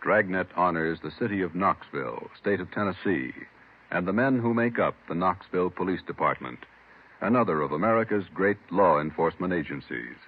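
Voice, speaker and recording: Deep, husky vintage radio announcer. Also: male voice, expressive, brisk. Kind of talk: solemn scripted radio announcing. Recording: tinny old radio recording, music behind.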